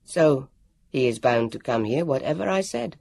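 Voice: mid-range voice, expressive, moderate pace, gravelly, a little mellow, no room sound. The narrator voicing a formal, solemn grown man who speaks stiffly and precisely.

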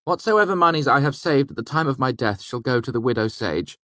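A man's voice: medium pitch, lively intonation, a little fast, fairly bright tone, no room sound, pitched above the performer's own voice, natural voice. A man voicing a flamboyant, mischievous young man who puts on solemn airs and declaims theatrically. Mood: grandly solemn.

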